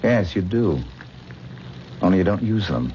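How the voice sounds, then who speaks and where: An actor playing a famous, self-pitying stage actor who gives lofty, melodramatic speeches in a 1970s radio drama. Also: male voice, sits low, animated, unhurried, dark tone. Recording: dull old radio broadcast with faint hiss.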